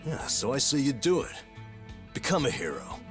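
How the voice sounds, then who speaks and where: Actor as a hugely animated, hearty middle-aged buddy. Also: male voice, mid-range voice, normal speed, over music, close to an everyday voice. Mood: encouraging. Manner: animated, encouraging, casual. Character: hearty, encouraging buddy of the hero. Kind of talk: dramatic video game voice acting.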